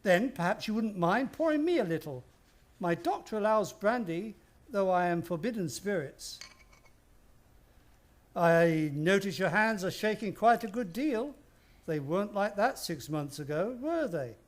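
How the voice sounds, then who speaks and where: A high-pitched old man as a male actor plays him in a radio thriller. He is mock-polite and unctuous, with theatrical courtesy over quiet menace. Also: lively intonation, measured pace. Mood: mock-kindly. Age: older. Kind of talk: radio play suspense acting.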